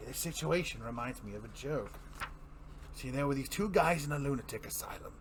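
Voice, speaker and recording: A man's voice: medium pitch, lively intonation, background noise, close to an everyday voice. A man performing a manic, mocking clown supervillain in a theatrical comic-book dramatic reading, prone to giggles. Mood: amused, manic. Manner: theatrical, drawn-out, swooping, breaking into giggles.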